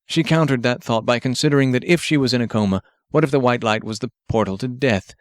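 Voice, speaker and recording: A man's voice: medium pitch, fast talker, no room sound, clean studio sound. A man narrating fiction as an audiobook reader, telling the story from a script.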